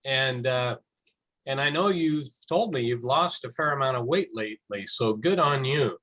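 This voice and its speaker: A man's voice: mid-range voice, expressive, normal speed, fairly bright tone. Encouraging man co-hosting a chat. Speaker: co-host. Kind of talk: casual chat.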